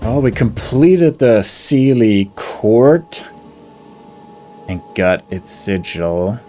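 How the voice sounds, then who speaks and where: A man's voice: on the low side, very animated, drawn-out words, dark tone, faint music behind. A man giving casual game commentary on his own playthrough, thinking aloud and easily amused.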